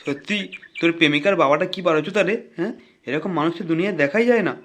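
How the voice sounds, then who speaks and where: A man's voice: a little high, rapid-fire, close to an everyday voice. A man voicing a nosy, streetwise leader of idle neighbourhood lads in a comic cartoon, slangy and exclamatory. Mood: indignant.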